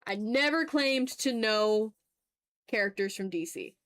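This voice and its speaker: A woman's voice: mid-range voice, lively intonation, unhurried, fairly bright tone, dry recording, clean studio sound. A woman chatting casually on an art livestream while she draws, informal and rambling, with some swearing and self-deprecation. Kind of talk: casual livestream chat while drawing, reading out viewer comments.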